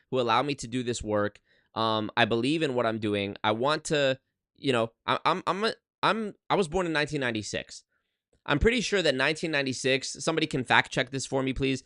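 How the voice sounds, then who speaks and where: A man's voice: medium pitch, a bit bright, no echo, studio quality. A man in his twenties, a YouTuber talking casually on a livestream from his apartment into a desk microphone, emphatic and swearing, often restarting his sentences. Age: 20s. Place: one-bedroom apartment.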